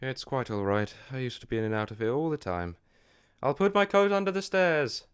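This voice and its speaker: A man playing a fretful, sardonic gentleman in an audio drama, a reluctant companion who complains drily and formally. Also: medium pitch, expressive, fairly quick, clear, resonant tone, lightly acted. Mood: dry. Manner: dry, complaining, formal wording.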